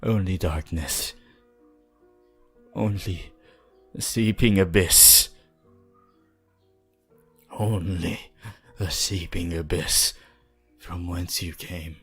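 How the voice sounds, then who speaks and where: Possessive male vampire, ASMR roleplay: deep, breathy, sinister, archaic and theatrical, extremely unhurried delivery. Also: fairly even intonation, fairly bright tone, soft background music, exaggerated voice. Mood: dark, menacing. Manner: theatrical, slow, menacing, archaic phrasing.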